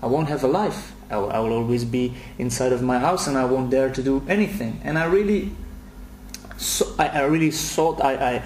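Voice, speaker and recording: A man's voice: mid-range voice, moderate pace, darkish tone, echoey room, a little hiss or hum. A man in his late twenties from Belgium with a Belgian accent, earnestly recording a video message for his website and often repeating words as he starts a sentence. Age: late 20s. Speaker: website host.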